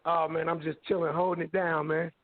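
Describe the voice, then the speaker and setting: A man from Kansas City, Missouri, with a hoarse, scratchy voice, chatting laid-back and slangy as a guest rapper. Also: a little high, little pitch movement, fairly quick, no room sound. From Kansas City, Missouri, USA. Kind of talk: casual interview chat. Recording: dry tinny phone line.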